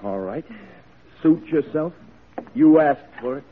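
Gruff, folksy old-radio jailer, muffled recording. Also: male voice, on the high side, expressive, slow pace, noisy background. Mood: stubborn, irritated. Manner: folksy, colloquial, brusque. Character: gruff, stubborn, dismissive male prison jailer. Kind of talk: old-time radio drama acting.

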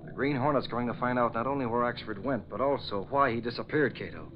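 An actor playing a resolute masked crime-fighter in 1940s radio style, urgent, clipped and dramatic. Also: male voice, mid-range voice, quick pace, lightly acted. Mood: determined. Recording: muffled old radio recording, noisy background.

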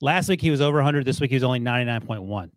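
A man's voice: mid-range voice, racing. A man co-hosting a sports podcast, talking through game analysis in a rambling, casual way, often restarting and chuckling. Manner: rambling, self-correcting, chuckles while talking. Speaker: podcast co-host.

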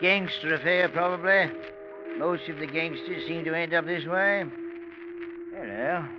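An actor playing a methodical police inspector in a 1940s radio drama, with a clipped, matter-of-fact manner. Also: male voice, on the high side, unhurried, music in the background, lightly acted. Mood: brisk, businesslike. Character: methodical Scotland Yard police inspector, brisk and businesslike. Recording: noisy, muffled vintage radio drama recording.

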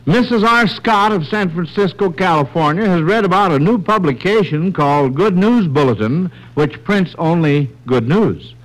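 An American man hosts a radio quiz from the 1940s. His voice sits a little high and swings freely in pitch as he presents each question. The sound is that of a vintage broadcast. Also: expressive, normal speed, few pauses, low-quality recording. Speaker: quiz show host. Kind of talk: scripted quiz hosting on old radio.

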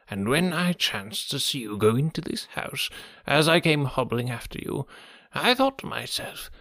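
A man voicing a wizened old book collector with a croaking voice and a deferential, wheedling manner, in an audiobook story. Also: medium pitch, fairly bright tone, no room sound, studio quality, full character voice. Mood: apologetic, humble. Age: older. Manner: deferential, wheedling salesman talk.

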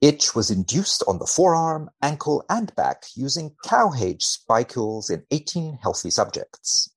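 A man speaking as a researcher guest on a podcast, dry and mock-serious in a measured delivery. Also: medium pitch, big pitch swings, breathy edge. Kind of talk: podcast chat and reading aloud from a study.

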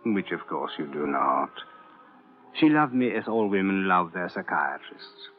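An actor playing a suave, condescending older psychiatrist in an old-time radio drama, speaking with haughty, languid precision. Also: male voice, low voice, lively intonation, unhurried. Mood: smug, evasive. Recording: thin, tinny vintage radio recording.

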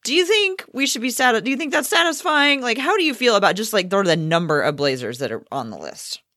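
A woman's voice: a little high, big pitch swings, quick pace, bright, forceful tone, no room sound, studio quality. A middle-aged woman hosting a sports podcast, chatty and conversational, thinking aloud as she goes. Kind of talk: casual sports chat.

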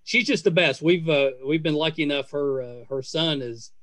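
A man's voice: fairly high pitch, normal speed, a bit bright, no room sound. Effusive male podcast host, dry close sound. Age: middle-aged. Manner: effusive, full of praise. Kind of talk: casual conversational interview.

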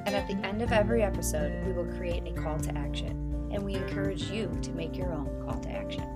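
A woman co-hosting a podcast in a bubbly, animated way, full of quick asides. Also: lowish voice, loud music behind the voice. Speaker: podcast co-host. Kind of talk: casual podcast chat.

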